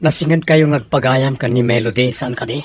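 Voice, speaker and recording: A man's voice: medium pitch, fairly quick, close to an everyday voice. A man playing a troubled young husband, questioning insistently in an audio soap drama. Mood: uneasy, insistent.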